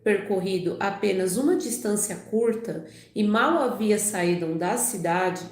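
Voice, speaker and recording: A woman's voice: mid-range voice, a little mellow, reverberant. A woman hosting a live channel, reading the Bible aloud and chatting, her voice a little off from a cold, with lots of "né" and "tá bom" tags. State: recovering from a cold. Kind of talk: casual livestream talk and Bible reading aloud.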